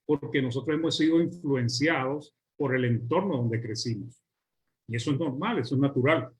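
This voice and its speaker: A man with a Venezuelan accent preaching a sermon, working through lists slowly and stretching key words for weight. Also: mid-range voice. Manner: measured, list-like preaching with drawn-out words.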